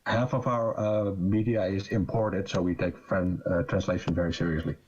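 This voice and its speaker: A man's voice: deep voice, steady tone, moderate pace, darkish tone, husky, dull audio. A young man from the Netherlands with a Dutch accent, talking casually as a guest with many fillers and restarts. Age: young adult. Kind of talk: casual chat.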